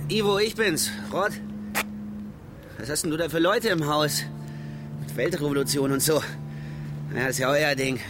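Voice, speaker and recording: A man's voice: on the high side, normal speed, pauses often, close to an everyday voice. An actor in a radio crime drama playing a rattled, evasive, slangy young man who is hard up. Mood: anxious calling. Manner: slangy, agitated, often shouting. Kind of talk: radio crime drama, first-person narration and dialogue. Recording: studio radio play with loud music behind.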